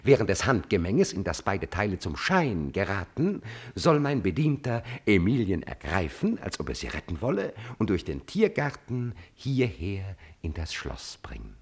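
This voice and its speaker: An actor playing a cunning, servile and coldly ambitious chamberlain in a classical drama, with an insinuating, theatrical delivery and a slightly husky voice. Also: male voice, sits low, wildly swooping pitch, moderate pace, exaggerated voice. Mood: excited, boastful. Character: scheming male court chamberlain, servile, cunning, cold-bloodedly ambitious. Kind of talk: German classical stage drama acting.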